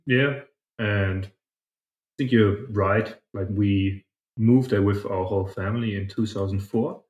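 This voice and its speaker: A man speaks as an interview guest in a low voice. He is conversational and reflective, and the recording is noticeably roomy and echoey. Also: steady tone, a little slow, a little mellow, lo-fi sound. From southern Germany, partly grew up in China. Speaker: podcast guest, startup founder. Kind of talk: casual interview answers.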